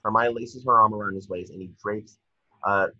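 Husky, low-pitched man reading stage directions. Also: fairly even intonation, fairly quick, sharp tone, no room sound. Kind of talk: scripted narration at a table read. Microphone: video call. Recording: dull lo-fi video call audio.

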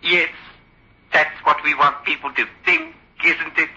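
A sinister male dummy come to life, with a high, piercingly bright voice. He taunts and threatens in old radio horror style, through a dull, lo-fi recording. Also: sing-song, unhurried, full character voice. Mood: menacing. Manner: taunting, needling. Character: sinister living male ventriloquist's dummy, defiant and menacing.

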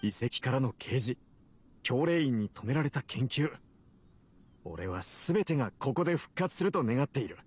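A man's voice: medium pitch, animated, moderate pace, breathy edge. A man voicing an ambitious, obsessive young researcher in an anime game, speaking in a theatrical, grandiose way. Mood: fervent, grandiose. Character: ambitious, obsessive male researcher talking to his robot.

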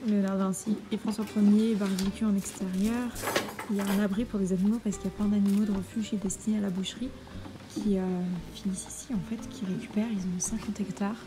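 A woman's voice: medium pitch, little pitch movement, quick pace. A woman of about thirty chatting casually and effusively as she films a travel vlog. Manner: enthusiastic, effusive. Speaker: travel vlogger and dog trainer.

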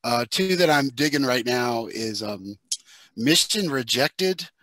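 A man in his fifties chatting informally on a panel through a headset, in a halting way. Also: mid-range voice, lively intonation, a bit bright, no room sound. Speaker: audio drama creator, panel guest. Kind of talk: casual panel talk. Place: living room indoors, video call. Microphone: headset with boom mic.

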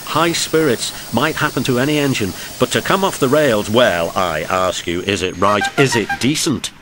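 A man's voice: mid-range voice, moderate pace, noisy background, lightly acted. A man voicing a pompous, huffy big male steam engine in an indignant, haughty manner for a storybook.

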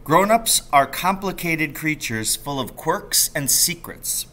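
Bright-voiced middle-aged man reading a storybook. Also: a little high, takes it slowly. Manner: expressive reading, calls out the dialogue. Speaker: teacher reading aloud. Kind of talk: storybook read-aloud narration. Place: small office or classroom indoors.

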